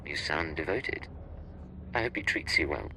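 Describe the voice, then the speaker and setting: An actor playing a cool, confident secret agent in a video game, terse and smoothly self-assured. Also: male voice, a bass voice, animated, normal speed, sharp tone, slight background noise, exaggerated voice, rough recording. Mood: flirtatious.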